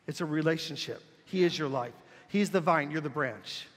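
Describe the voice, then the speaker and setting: A man preaching a sermon as a pastor, leading call-and-response and building to emphatic shouts. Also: a little high, normal speed. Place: church sanctuary. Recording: long church reverb.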